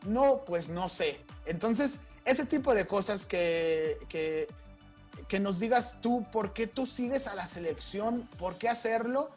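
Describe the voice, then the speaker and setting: A man's voice: high-pitched, animated, slow, darkish tone, soft background music. A man hosting an interview, explaining at length before he asks his question. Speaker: host, interviewer.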